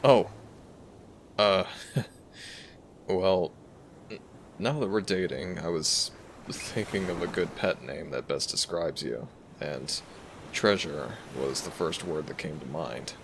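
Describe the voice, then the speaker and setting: A man playing a gentle young dragon prince, a devoted boyfriend talking tenderly to the listener in a romantic audio roleplay. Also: low voice, slow pace, long pauses, noisy background. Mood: adoring. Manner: tender, affectionate, soft-spoken reassurance. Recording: music behind the voice. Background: background music.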